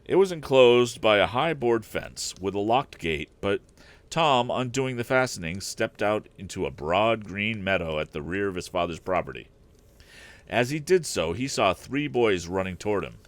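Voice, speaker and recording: A man's voice: on the low side, very animated, normal speed. A man reading a storybook aloud as host, narrating expressively.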